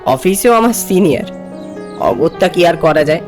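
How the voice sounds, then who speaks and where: A man's voice: high voice, expressive, moderate pace. An actor playing a curious, polite male office junior who narrates his own horror story. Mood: calm storytelling. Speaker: narrator and character. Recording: music behind the voice. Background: background music.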